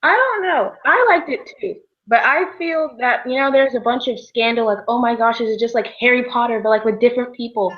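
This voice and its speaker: A woman's voice: a little high, a little fast, few pauses, lo-fi sound. A young woman co-hosting a casual book chat, telling her reactions informally as little quotes. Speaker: book discussion co-host.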